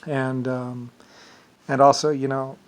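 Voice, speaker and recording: A man's voice: mid-range voice, level tone, slow. A man with an Irish accent delivering a rambling, digressive solo monologue with self-assured claims.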